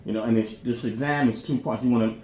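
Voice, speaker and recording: A man's voice: medium pitch, fairly even intonation, fast, a little mellow. A man talking off the cuff as a podcast host, explaining things in a rambling, colloquial way with frequent check-in phrases. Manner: rambling, colloquial, frequently says "you know what I'm saying". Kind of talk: informal off-the-cuff monologue explaining military careers. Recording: roomy echo, faint background noise.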